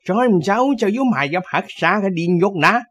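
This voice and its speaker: A man's voice: sits high, animated, normal speed, fairly bright tone, pitched above the performer's own voice. A man playing a wily old camel guide in an audio drama, hollering urgent warnings. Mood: urgent alarm.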